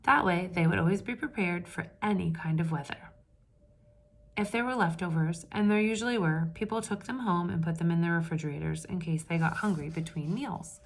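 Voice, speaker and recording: A woman reading a picture book aloud to children with lively expression, pausing to ask her listeners questions in hushed asides. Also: on the low side. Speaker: read-aloud storyteller for children.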